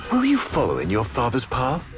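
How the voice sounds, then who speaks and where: An actor playing a smooth, condescending high official in a video game, speaking in a formal, polite and leading manner. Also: male voice, huge pitch swings, brisk, over music. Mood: polite condescension. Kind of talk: dramatic video game dialogue.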